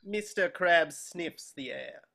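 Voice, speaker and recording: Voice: medium pitch, slow, creaky, bright, forceful tone. A narrator reading scripted stage directions at a table reading.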